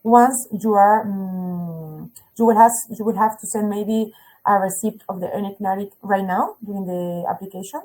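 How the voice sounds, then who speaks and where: Halting woman presenting a school webinar. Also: medium pitch, fairly even intonation, dark tone, low-quality recording. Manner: halting speech with many "uh" and "okay?" checks. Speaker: school representative.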